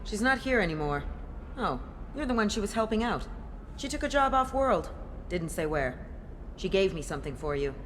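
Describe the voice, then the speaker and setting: High-voiced, echoey bystander. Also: male voice, very animated, normal speed, a bit bright. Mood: offhand, helpful. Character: casual bystander passing on a message. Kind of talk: video game dialogue acting. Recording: long echoing hall reverb, faint noise.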